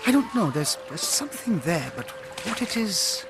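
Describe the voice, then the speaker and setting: Radio drama lead: keen, introspective Indian sleuth, lively intonation over background music. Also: male voice, sits high, normal speed. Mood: intrigued. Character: sharp, brooding, self-critical male private detective. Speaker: lead actor in a detective radio play.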